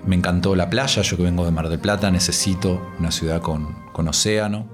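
Low-voiced Argentine man, halting and thoughtful. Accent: Argentine Rioplatense Spanish. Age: 30s. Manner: thoughtful, halting, repeats words as he searches for them. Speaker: street poet, interviewee. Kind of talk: reflective personal interview.

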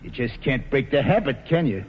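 An actor playing a brash, wisecracking ex-convict bootlegger boss in slangy 1940s radio drama style. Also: male voice, mid-range voice, big pitch swings, moderate pace, natural voice. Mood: commanding, mocking. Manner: slangy, wisecracking tough-guy talk. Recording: dull, noisy vintage radio recording.

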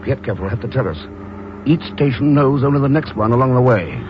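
An actor playing a cool, decisive secret agent who talks tersely and dryly in a 1950s radio drama. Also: male voice, mid-range voice, animated, fairly quick, natural voice. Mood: dry, grim. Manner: terse, dry, commanding. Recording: muffled, noisy old radio broadcast.

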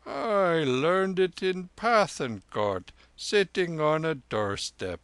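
A man voicing an elderly, gentle lama who speaks in slow, solemn, archaic phrases in a storybook reading. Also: on the high side, sing-song, dry recording, pitched above the performer's own voice, exaggerated voice. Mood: shy.

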